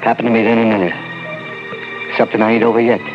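A man acting in a 1940s radio drama as a frightened, slangy working-class carnival man who recounts his ordeal haltingly. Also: lowish voice, flat delivery, over loud music, natural voice. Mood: haunted, subdued. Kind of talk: 1940s radio acting, first-person narration. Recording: muffled old 1940s radio recording.

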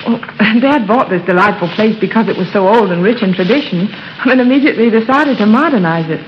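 A woman's voice: medium pitch, lively intonation, fairly quick, lightly acted. A woman playing a polite young daughter, a gracious and apologetic hostess, in a 1930s radio drama. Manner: genteel, apologetic, courteous. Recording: muffled 1930s radio, faint noise.

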